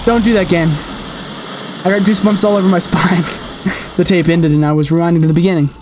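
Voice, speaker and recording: A woman hosting a gaming video, reading a horror game's story aloud in an expressive storytelling manner with her own asides. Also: lowish voice, quick pace, noisy background. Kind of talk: dramatic read-aloud narration of a horror game with asides. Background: game soundtrack music.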